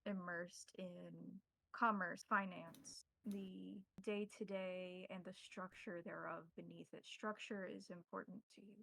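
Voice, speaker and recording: A woman's voice: fairly low pitch, steady tone, vocal fry, pushed and bright, exaggerated voice, low-quality recording. A woman voicing a courtly, devout vampire priest who tutors newcomers formally in a tabletop game. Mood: solemn, instructive. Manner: formal, measured, instructive.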